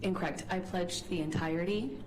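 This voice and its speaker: A woman voicing an evasive female witness in an animated clip, answering in an indignant, defensive way. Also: lowish voice, brisk, close to an everyday voice, low-quality recording. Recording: clip played over music.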